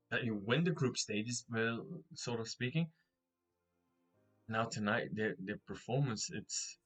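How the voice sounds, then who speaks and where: A man's voice: mid-range voice, fairly bright tone, poor audio. A man casting esports with English as his third language, speaking hesitantly with many restarts and laughs. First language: not English. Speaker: esports caster. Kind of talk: casual esports commentary.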